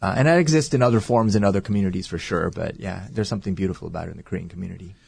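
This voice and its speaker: A man who is a priest, talking earnestly and reflectively in an interview and sometimes pausing to search for words. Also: low voice, vocal fry, darkish tone, breathy edge, no room sound. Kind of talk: casual interview talk.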